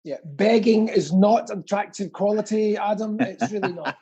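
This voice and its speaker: A middle-aged British man hosts a live quiz in a husky voice. The tone is mellow, and he banters casually, repeating phrases theatrically for comic emphasis. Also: high voice, normal speed, muffled recording. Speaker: quiz co-host and pantomime dame performer.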